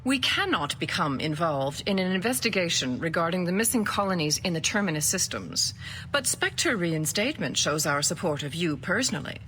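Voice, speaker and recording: Game role: calm female alien councilor, lowish voice, formal and measured, slight background noise. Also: long reverb tail. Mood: diplomatic. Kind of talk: video game cutscene acting.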